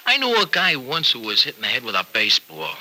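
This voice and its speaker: A man's voice: mid-range voice, huge pitch swings, quick pace, sharp tone, full character voice, rough recording. An actor in an old-time radio soap opera playing a folksy, generous American farmer who hesitates earnestly as he worries over an injured friend. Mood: reassuring. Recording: vintage radio drama recording.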